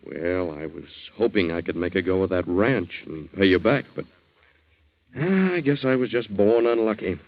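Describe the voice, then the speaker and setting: Casting: down-on-his-luck drifter, low voice, loose sardonic delivery, muffled vintage radio drama. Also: expressive, moderate pace. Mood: rueful. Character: down-on-his-luck, self-pitying, breezy drifter brother. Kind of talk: 1940s radio thriller acting. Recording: muffled 1940s radio recording.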